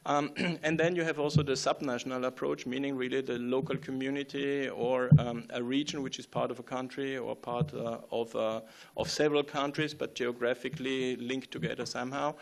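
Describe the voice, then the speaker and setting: A man giving a conference talk, halting with many fillers and restarts and often clearing his throat. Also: medium pitch, fairly even intonation. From Austria. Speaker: conference speaker.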